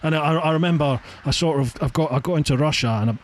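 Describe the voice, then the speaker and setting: A man's voice: a little high, quick pace. A man hosting a podcast in casual, emphatic conversation. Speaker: podcast host. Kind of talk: casual chat. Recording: faint background noise, online call.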